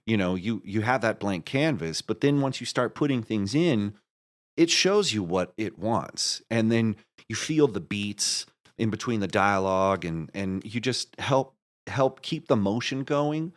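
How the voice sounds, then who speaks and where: A man speaking as an interview guest in a casual chat, explaining his creative work with an enthusiastic, storytelling manner. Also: fairly low pitch.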